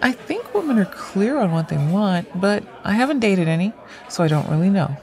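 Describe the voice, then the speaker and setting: A woman's voice: mid-range voice, normal speed. An actress playing a blunt, playful woman near forty in audio drama dialogue, speaking directly. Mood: flirty, candid. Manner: direct, animated delivery.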